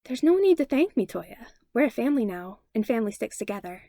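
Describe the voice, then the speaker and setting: A woman reading the lines of a kind, protective mother in a fan-fiction audiobook, in a gentle, reassuring tone. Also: a little high, very animated, fast talker, no room sound, studio quality.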